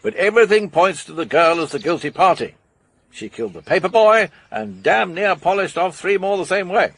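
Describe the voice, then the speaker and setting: An actor playing a cocksure, assertive British police investigator with a husky voice in a radio mystery drama. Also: male voice, high voice, expressive, fairly quick, fairly bright tone, no echo, exaggerated voice. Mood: confident, accusing. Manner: insistent, assertive.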